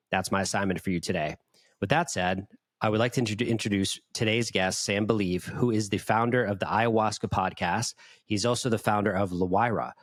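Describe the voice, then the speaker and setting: A man hosting an interview podcast, speaking in an earnest, effusive and encouraging way. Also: lowish voice. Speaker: podcast host. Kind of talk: podcast intro and interview talk.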